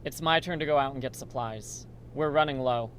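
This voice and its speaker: A man playing a steady, reassuring survivor and research partner in a horror radio drama, speaking earnestly. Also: mid-range voice, a little fast, slight background noise, close to an everyday voice. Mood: businesslike.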